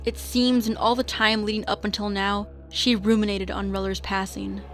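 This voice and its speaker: A woman's voice: mid-range voice, little pitch movement, moderate pace, faint music behind. A woman giving scripted third-person narration for an audio drama.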